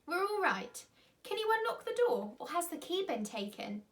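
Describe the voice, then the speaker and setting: A woman's voice: extremely high pitch, very animated, moderate pace, fairly bright tone, voice pushed up, heavily acted. A woman voicing an eager, anxious young schoolboy from a children's storybook, speaking urgently. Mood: excited calling.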